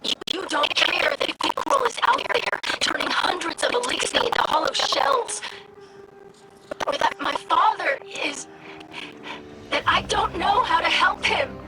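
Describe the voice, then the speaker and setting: A woman's voice: very high voice, animated, a little slow, loud music behind the voice, rough recording. An actress playing an anxious, earnest young female alien scientist in a video game, speaking formally with pleading intensity. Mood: angry, hurt. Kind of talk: video game character acting.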